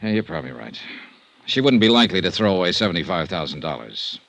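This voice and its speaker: A man's voice: deep voice, expressive, quick pace, a bit bright. A man playing a cool, skeptical insurance investigator in a radio noir, laconic and probing. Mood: cool, wry. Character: cool, skeptical male insurance investigator, hard-boiled detective. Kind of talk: 1950s radio noir acting. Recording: noisy vintage radio recording.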